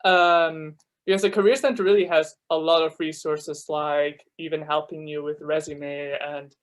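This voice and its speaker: A man's voice: a little high, flat delivery. A young man from Switzerland whose first language is German, giving a casual, filler-heavy talk as a student ambassador over a video call. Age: young adult. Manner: chatty, many fillers, quick laughs. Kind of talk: casual presentation to new students.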